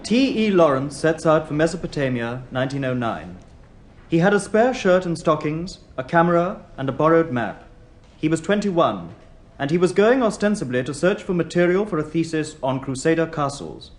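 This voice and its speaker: An earnest narrator in a radio play. His voice is a little high. He reads historical material in a formal, scripted way. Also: big pitch swings, moderate pace. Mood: measured.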